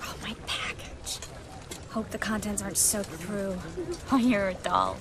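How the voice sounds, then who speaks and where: An actress playing a young sorceress in a video game, wry, guarded and teasing. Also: female voice, medium pitch, lively intonation, unhurried, in a large hall, noisy background, lightly acted.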